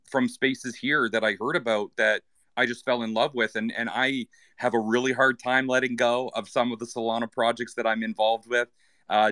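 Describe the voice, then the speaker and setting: A man's voice: mid-range voice, bright, forceful tone, no room sound. A man from Canada chatting casually, rambling with many fillers and restarts. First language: English. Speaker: guest. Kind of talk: casual chat in an online audio space.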